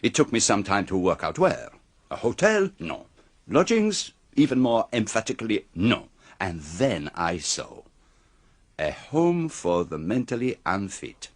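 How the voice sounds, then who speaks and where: An actor playing a precise, theatrical detective in a radio mystery, speaking formally with dramatic pauses. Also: male voice, on the low side, sing-song, heavily acted. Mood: probing, triumphant. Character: precise, theatrical male foreign detective revealing a murderer.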